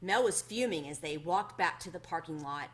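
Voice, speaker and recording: A woman reading a children's story aloud as a host, in an expressive, plainly addressed storytelling manner. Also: lowish voice, normal speed.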